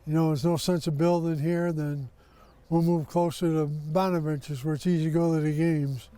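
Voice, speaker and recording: An older man speaks casually as an interviewed homeowner. His tone is mellow and dark, while his pitch sits a bit higher than most men's. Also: fairly even intonation, moderate pace, clear, resonant tone, dry recording.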